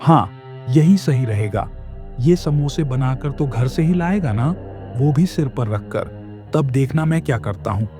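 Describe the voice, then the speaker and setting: Brief: male actor as greedy cartoon shop boss, grumbling and scheming, mellow dark tone, faint music behind. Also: mid-range voice, expressive, a little slow, lightly acted. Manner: bossy, grumbling. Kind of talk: children's cartoon dialogue.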